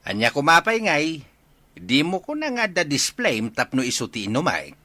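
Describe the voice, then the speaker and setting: An actor playing a rude, pushy intruder in an Ilocano radio drama, brusque and arrogant. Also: male voice, a little high, wildly swooping pitch, moderate pace, bright, forceful tone, dry recording, heavily acted. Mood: dismissive, demanding. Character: rude, pushy male intruder demanding a drink.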